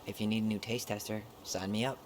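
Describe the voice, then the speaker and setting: Man acting, lowish voice, young, hesitant, sincere, naturalistic game dialogue, long reverb. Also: fairly even intonation, fast, natural voice. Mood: easygoing. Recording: noisy game capture with hall reverb.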